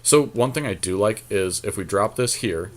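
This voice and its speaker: Low-pitched man talking through game moves. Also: expressive, moderate pace. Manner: thinking aloud through tactical options. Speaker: podcast co-host and card game player. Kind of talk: casual gameplay commentary.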